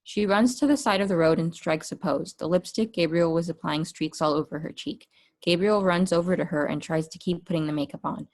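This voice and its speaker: A woman's voice: on the low side, brisk, a little mellow. A woman narrating a script's stage directions at a table read.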